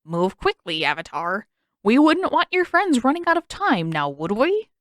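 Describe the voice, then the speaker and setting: A woman voicing a sly, scheming old king who taunts slowly in a fan fiction audiobook reading. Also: on the high side, wildly swooping pitch, a bit bright, no echo, clean studio sound, pitched above the performer's own voice. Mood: mocking.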